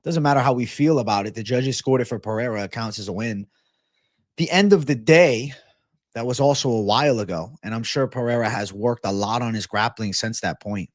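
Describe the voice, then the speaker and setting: A young man from Massachusetts talks loosely to his livestream chat from a home setup, full of slang and quick asides. His voice sits at an ordinary middle pitch, and the close mic leaves it dry with no room around it. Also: dry recording. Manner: loose, animated, slangy, says bro. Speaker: MMA livestream host. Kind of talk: casual livestream chat reading viewer comments.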